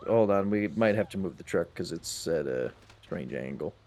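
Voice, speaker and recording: A man streams a game with casual, playful chatter. His pitch moves around a lot as he talks, and he swears freely. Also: animated, normal speed, darkish tone. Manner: playful, profane. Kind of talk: casual chat while playing a multiplayer crime game.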